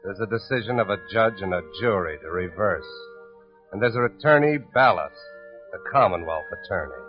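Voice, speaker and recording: An actor as the grave narrator of an old-time radio drama, delivering dramatic scripted narration. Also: male voice, fairly low pitch, expressive, normal speed, natural voice. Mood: grave, suspenseful. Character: grave male radio narrator addressing the hero. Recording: muffled vintage radio recording with music.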